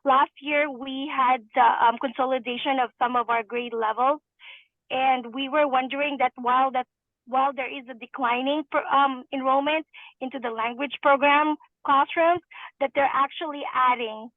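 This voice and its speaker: Woman parent, high voice over a phone-like line, hesitant. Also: steady tone, moderate pace, dry recording. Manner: hesitant, many fillers and restarts. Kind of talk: public comment.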